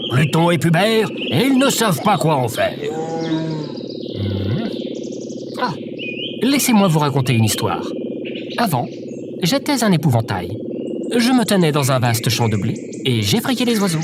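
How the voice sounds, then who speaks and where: A man's voice: on the high side, wildly swooping pitch, unhurried, long pauses, very noisy. A man playing eccentric, pompous scarecrow and tin-man characters, musing theatrically in a scripted audio drama. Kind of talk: scripted audio fiction acting.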